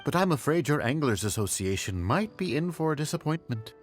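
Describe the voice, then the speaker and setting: A friendly middle-aged fishmonger, chatty and folksy. His pitch swings widely as he talks. Faint game music underneath. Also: big pitch swings, brisk.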